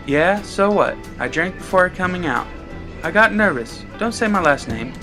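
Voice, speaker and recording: Man putting on a high-pitched, flirty tipsy woman. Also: lively intonation, moderate pace, music in the background. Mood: embarrassed, tipsy. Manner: teasing, flirtatious. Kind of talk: dramatic story reading.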